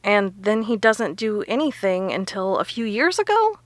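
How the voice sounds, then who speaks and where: An actress playing a sharp, confident woman researcher who explains things emphatically in an audio drama. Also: medium pitch, sing-song, normal speed, no echo, natural voice. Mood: explaining, intent.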